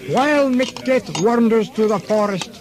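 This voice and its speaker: A man's voice: high voice, animated, normal speed, crowd noise. A man playing a melodramatic narrator telling a comic radio drama. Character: melodramatic storyteller of a tragic spoof.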